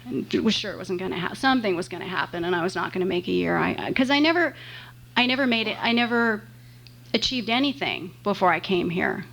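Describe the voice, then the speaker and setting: A woman in her forties from the San Fernando Valley, speaking at a meeting podium in a chatty, self-deprecating way with halting restarts. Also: mid-range voice, animated, creaky, a bit bright. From Los Angeles, San Fernando Valley. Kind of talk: personal talk at a meeting. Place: meeting room. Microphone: podium microphone. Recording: faint hiss and hum, live room tape.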